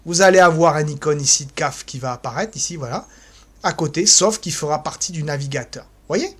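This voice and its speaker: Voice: medium pitch, brisk, slightly rough. Hesitant tutorial voice. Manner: hesitant, full of "alors" and "euh", mouths click sounds like "poc". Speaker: tutorial presenter for an association. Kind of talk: step-by-step phone tutorial.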